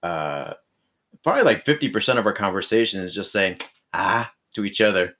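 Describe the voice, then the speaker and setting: A man from northern Mexico, appearing as a guest in a casual podcast conversation. His voice sits fairly low, and he talks loosely with many filler words and easy chuckles. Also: a little fast, slight background noise. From Rosarito, northern Mexico. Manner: relaxed, lots of fillers, chuckles. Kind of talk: casual chat.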